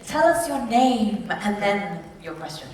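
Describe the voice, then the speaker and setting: A woman's voice: mid-range voice, sing-song, measured pace, a little mellow. A woman moderates a live question session in an informal style. She sounds far from the mic in a strongly reverberant hall, with faint noise. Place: large event hall.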